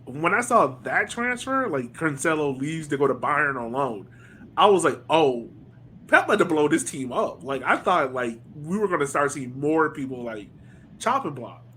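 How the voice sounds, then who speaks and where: A man with a somewhat high voice hosts a casual sports podcast. His delivery is informal and conversational as he puts questions to others. There is faint noise behind him. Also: big pitch swings, normal speed, sharp tone. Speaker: podcast host.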